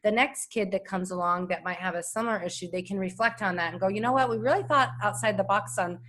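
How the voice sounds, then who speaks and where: A woman's voice: mid-range voice, quick pace, a bit bright. A woman, a speech-language assistant and parent, speaking earnestly and reflectively in a casual conversation.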